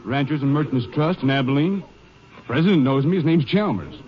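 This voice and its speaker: An actor playing a plain-spoken American cattle rancher in a 1950s radio western, with folksy, clipped Western phrasing. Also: male voice, fairly high pitch, lively intonation, fairly quick, close to an everyday voice. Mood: aggrieved. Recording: muffled old radio recording.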